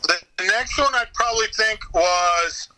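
Bright, higher-pitched American man chatting. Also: unhurried, rough recording. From United States. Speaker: voice actor, interview guest. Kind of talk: casual anecdotal interview chat.

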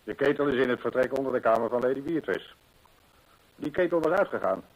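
An actor playing an earnest, discreet employee in a radio play, respectful and hesitant, repeating himself. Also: male voice, medium pitch, expressive, fast talker, low-quality recording. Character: deferential horse-stable employee, earnest, discreet witness. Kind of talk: Dutch radio play acting.

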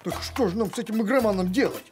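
An actor playing an excitable, eager and whiny game-mad dog in an animated cartoon. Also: male voice, sits high, fast, over music, close to an everyday voice. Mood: resigned. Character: excitable male cartoon dog hooked on games.

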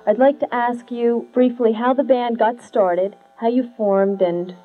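A woman's voice: on the high side, steady tone, measured pace. Young female interviewer, earnest, reading from notes, lo-fi 70s video audio with hum. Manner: earnest, laughs often, reads questions from notes. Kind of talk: live studio interview. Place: small room with padded curtain backdrop. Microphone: handheld mic. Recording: tinny lo-fi late-70s video sound with mains hum.

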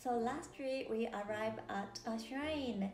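A woman's voice: fairly high pitch, slow pace, reverberant, loud music behind the voice. A young woman with a Japanese accent chatting casually to a camera in a living room. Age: young adult.

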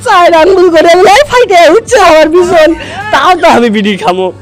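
A man voicing a cheeky, cowardly truant schoolboy in a comic cartoon, whiny and exclaiming with drawn-out sighs in a Bogra accent. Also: very high-pitched, huge pitch swings, full character voice. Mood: wailing. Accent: Bogra, northern Bangladesh. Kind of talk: comic cartoon dubbing in rural dialect.

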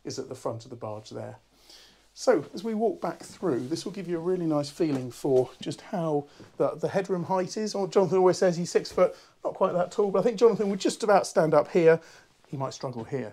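A man, a bit hoarse from a cold, chatting through a walkthrough tour of a barge. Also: fairly high pitch, dark tone. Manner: chatty and upbeat, many ums. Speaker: boat broker. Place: inside a barge, small cabin rooms.

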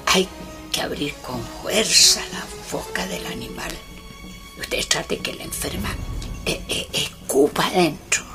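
An actress playing an elderly rural healer woman in a Chilean radio drama, with a Chilean accent and halting, solemn, insistent speech. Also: lowish voice, lively intonation, over music. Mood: halting, instructing. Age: older. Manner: halting, rustic, urgent incantatory speech.

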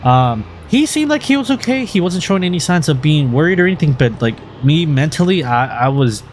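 A man's voice: on the high side, very animated, fairly quick, slight background noise. A man narrating his motorcycle vlog in an earnest, rambling way with many fillers and restarts. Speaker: motovlogger host. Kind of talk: casual voice-over vlog narration.

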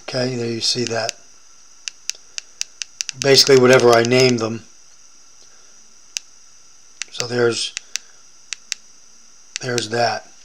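A man's voice: mid-range voice, little pitch movement, slow, pauses often, a little hiss or hum, low-quality recording. An American man demonstrating a product in a casual hands-on tutorial, explaining informally step by step.

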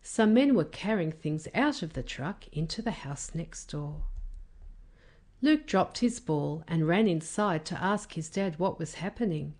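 A woman reading a children's story as a careful, expressive narrator. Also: lowish voice, a little slow. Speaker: storybook reader.

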